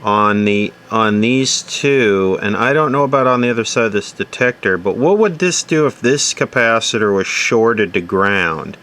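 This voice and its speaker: A man's voice: fairly low pitch, lively intonation, normal speed, hardly any pauses, a bit bright. A man giving casual troubleshooting commentary as he repairs electronics, musing aloud and asking himself questions. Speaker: electronics repair hobbyist presenter.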